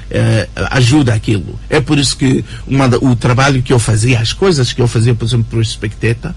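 A writer and journalist as a radio interview guest, talking in an animated way with many fillers and tag questions. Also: male voice, medium pitch, creaky. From Angola. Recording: radio broadcast recording.

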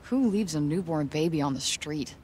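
A woman's voice: low-pitched, lively intonation, moderate pace, a little mellow, a little hiss or hum. An actor playing a wry, streetwise young American woman in a video game, muttering to herself with weary, self-mocking informality. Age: young adult. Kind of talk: video game character acting, musing to herself.

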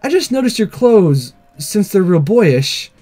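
Visual novel schoolgirl, played by a man, girlish and perky, high animated voice, breezy and forward. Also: measured pace, soft background music, exaggerated voice. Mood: curious, forward. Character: perky, forward, sporty anime schoolgirl, teenage.

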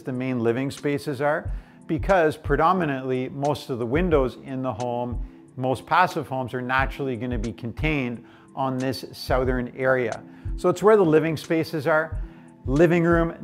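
A man in his forties giving an enthusiastic, informal walk-through presentation, explaining things as he goes. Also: a little high. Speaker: presenter, house tour guide. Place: furnished house interiors and outdoor deck.

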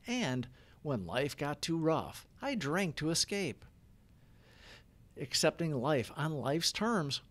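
A man hosting a recovery channel, reading prayers and daily reflections as scripted devotional narration. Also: medium pitch.

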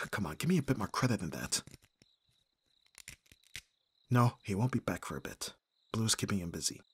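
A man's voice: lowish voice, sing-song, moderate pace, long pauses, slightly rough, full character voice. A man playing an abrasive but caring bodyguard in an audio roleplay, speaking with exasperated urgency and sighs. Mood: shocked relief, then focused urgency. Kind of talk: ASMR audio roleplay acting.

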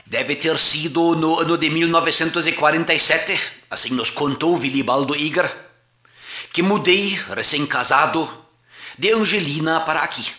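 A pastor narrates a documentary history in a measured, formal reading voice. His tone is bright and forceful, pitched a little high for a man. The room adds a clear echo.

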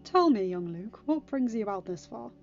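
A woman voicing a calm, kindly older male hermit mentor in a gentle, musing manner for a story reading. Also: a little high, normal speed. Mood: musing, wry. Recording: dull lo-fi recording with music behind.

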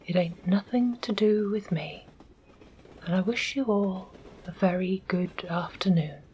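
A woman voicing a touchy working-class male railway porter in a soft whisper, sulky and full of dropped-letter dialect. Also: medium pitch, fairly even intonation, unhurried, slight background noise, natural voice. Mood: huffy.

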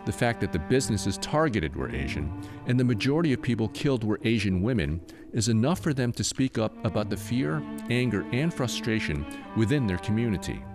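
Male American news reporter, low voice, very varied intonation, scripted narration over music. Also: big pitch swings, brisk.

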